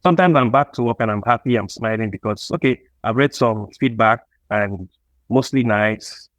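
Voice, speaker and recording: A man's voice: lowish voice, moderate pace, no echo. A man with a Nigerian accent answering as an interview guest, talking reflectively and conversationally. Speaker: comic book creator, interview guest.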